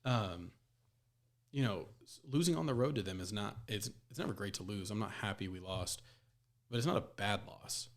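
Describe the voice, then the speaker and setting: A man's voice: lowish voice, normal speed. An American man chatting casually as a panelist on a sports podcast.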